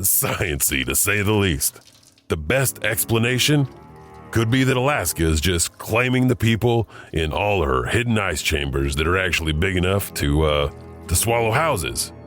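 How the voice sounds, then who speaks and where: A male host narrates scripted tales in a very low voice, keeping a conversational, slightly wry edge. Soft music runs underneath.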